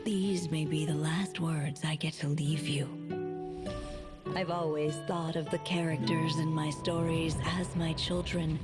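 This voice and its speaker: An actress playing a gentle, dying storyteller witch in a video game, soft, solemn and motherly, with loud music under her. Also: low-pitched.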